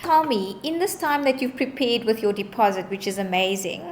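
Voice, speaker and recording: Upbeat woman with a high voice. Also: lively intonation, moderate pace, a little hiss or hum. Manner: upbeat, encouraging, reassuring. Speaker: bond originator. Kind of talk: client consultation call.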